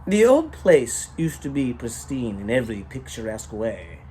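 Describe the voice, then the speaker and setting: A man reading a short story as its storyteller, in a theatrical, rhythmic manner with drawn-out emphasis and rhyming phrases. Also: mid-range voice, sing-song, mellow, a little hiss or hum, low-quality recording. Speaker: storyteller, author reading own work.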